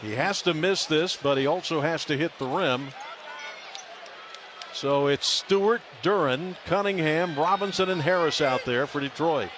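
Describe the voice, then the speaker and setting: Guy doing radio basketball play by play, kinda high voice, emphatic calls, loud arena noise behind him. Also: a little slow. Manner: emphatic, calling out scores and plays. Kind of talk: live radio play-by-play commentary. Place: large indoor basketball arena. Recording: noisy arena broadcast. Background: loud arena noise, bouncing basketball.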